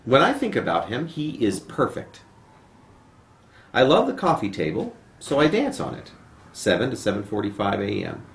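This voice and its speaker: A man's voice: on the low side, wildly swooping pitch, moderate pace, creaky. A man reading poetry aloud as a poet at a live home reading. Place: room in a home. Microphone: camera microphone, no dedicated mic. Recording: roomy echo, faint noise, amateur video.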